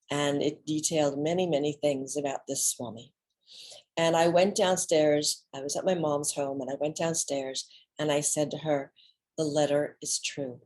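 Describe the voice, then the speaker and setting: An American woman giving a dramatic, autobiographical one-woman reading, theatrical and deliberate with dramatic pauses. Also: deep voice, darkish tone. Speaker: solo performer, storyteller.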